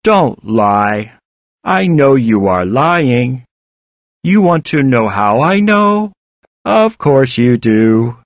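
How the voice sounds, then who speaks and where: A malicious robotic woman, acted out by a male audiobook reader, taunts in a very slow, drawn-out way. The voice is hard and artificial, swinging in pitch with mock sweetness. The sound is dry. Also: very animated, no room sound, full character voice. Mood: taunting. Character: malicious, taunting female robotic voice of a machine hacker. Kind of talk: audiobook character voice.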